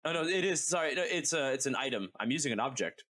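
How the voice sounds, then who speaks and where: A man's voice: mid-range voice, expressive, fast talker, sharp tone. A man playing a tabletop role-playing game on a podcast, chatting casually with playful, salty ribbing.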